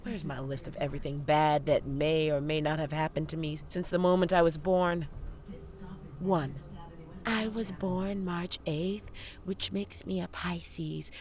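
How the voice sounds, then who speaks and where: A woman's voice: deep voice, a little mellow. A young woman with an American accent acting a savvy theater student in an audio play, sardonic and theatrical as she talks to the audience. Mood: frustrated, wry, then mock-dramatic. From Detroit, United States. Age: young adult. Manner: theatrical, sardonic, swinging between confiding and performing. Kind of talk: dramatic monologue to the audience.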